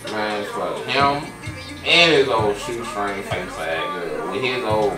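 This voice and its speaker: A man's voice: on the low side, slow pace. A young man trash-talking and joking with profanity as a customer in a barbershop comedy sketch. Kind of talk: scripted comedy banter. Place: barbershop, indoors. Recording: echoey room, camera mic from a distance, music underneath.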